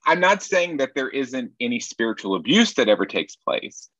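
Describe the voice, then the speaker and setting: A man's voice: medium pitch, very animated, normal speed, fairly bright tone, dry recording, highs cut off. A man from Nashville, Tennessee, speaking as a sex therapist guest in an earnest, wry, reflective way. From Nashville, Tennessee, USA. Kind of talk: conversational interview answers.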